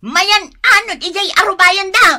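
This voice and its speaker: A scolding, fed-up wife in an Ilocano-accented radio comedy drama. Her voice is extremely high and piercingly sharp. She nags in a shrill, exasperated way. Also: measured pace, heavily acted. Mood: angry, hurt. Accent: Ilocano Filipino. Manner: nagging, shrill.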